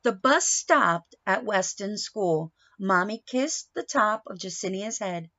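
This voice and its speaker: Lady doing a kids read-aloud, takes it real slow, asks the little ones questions, sound's a bit dull. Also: medium pitch, expressive, bright, forceful tone. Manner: instructive, questioning, addresses young listeners directly. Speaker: teacher reading aloud to young children. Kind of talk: picture book read-aloud with questions and explanations.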